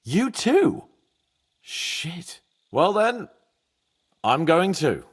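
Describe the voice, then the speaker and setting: A man playing an impulsive, expressive young medieval nobleman in a game. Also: high-pitched, drawn-out words, husky, big-room sound, full character voice. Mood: exasperated. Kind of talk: dramatic game cutscene acting.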